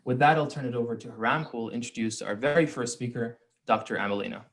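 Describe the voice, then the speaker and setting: A man's voice: mid-range voice, quick pace, a little hiss or hum. A man hosting an online event, giving formal thanks to sponsors and handing over to a co-host in a polite manner. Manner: polite, formal, with small restarts. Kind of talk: formal opening remarks.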